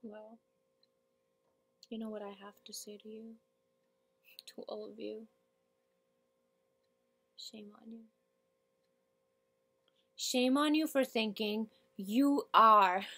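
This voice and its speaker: A woman performing a theatrical monologue as a hurt, defiant, foul-mouthed young working-class woman, repeating herself haltingly as she builds. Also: medium pitch, fairly bright tone. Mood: hurt, scornful. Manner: halting and repetitive, building to outbursts, swearing.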